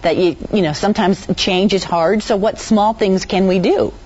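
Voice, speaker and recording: A woman's voice: mid-range voice, normal speed, a little mellow, muffled recording. A woman speaking as a television host in a broadcast interview.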